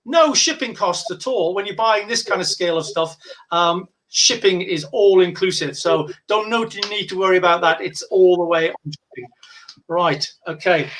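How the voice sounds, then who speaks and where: Bright high-voiced chatty man. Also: normal speed. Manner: chatty, rambling, frequent fillers and restarts. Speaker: whisky brand ambassador presenting deals. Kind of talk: casual live-stream sales chat.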